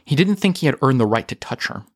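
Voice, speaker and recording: A man's voice: mid-range voice, expressive, quick pace, husky, no room sound, studio quality. A man reading scripted audio fiction as its narrator.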